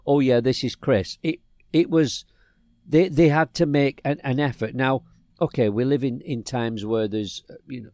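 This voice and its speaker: A middle-aged man from England chats on a podcast and spins a meandering story full of restarts. His voice is warm and mellow. The sound is dry and close. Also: medium pitch, no echo. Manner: rambling storyteller, many restarts. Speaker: podcast co-host. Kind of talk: casual chat.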